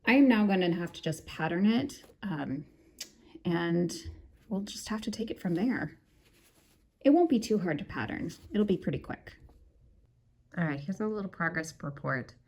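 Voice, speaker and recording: Chatty woman sewing vlogger, low voice. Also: lively intonation, moderate pace, long pauses, a little mellow, breathy edge. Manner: chatty, addresses viewers as "my darlings". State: croaky from a cold. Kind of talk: casual sewing vlog talk to viewers.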